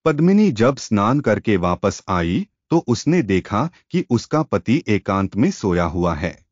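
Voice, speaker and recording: A man narrating a scripted moral tale in a formal, literary, expressive storytelling manner. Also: fairly low pitch, unhurried, dry recording, lo-fi sound.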